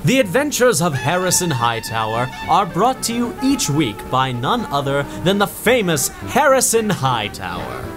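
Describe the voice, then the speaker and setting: An actor playing a genial old-time radio show host with a measured, announcer-like manner. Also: male voice, fairly high pitch, huge pitch swings, over music, low-quality recording. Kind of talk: 1930s radio announcer acting.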